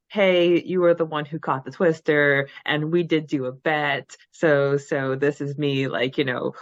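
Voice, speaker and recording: A woman co-hosting a podcast in casual fan chat, animated and halting as she thinks aloud, on a slightly lo-fi recording. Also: low voice, no room sound. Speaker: podcast co-host.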